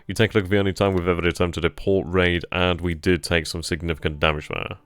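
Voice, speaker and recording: A man's voice: low voice, little pitch movement, quick pace, clear, resonant tone, no echo. A man hosting a strategy game stream, thinking aloud over his moves and casually answering chat questions. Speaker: gaming channel host. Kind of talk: live strategy game commentary.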